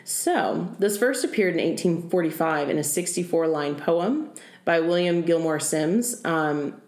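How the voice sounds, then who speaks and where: A woman's voice: lowish voice, lively intonation, a little slow, a little mellow, reverberant. A young woman co-hosting a podcast, casually telling folklore stories, joking and laughing along the way. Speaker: podcast co-host.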